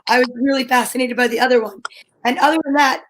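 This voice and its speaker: A woman's voice: high-pitched, steady tone, fast talker, noisy background. A woman co-hosting a casual talk show, explaining things as she thinks aloud. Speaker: co-host of a comedy talk show. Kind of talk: casual chat.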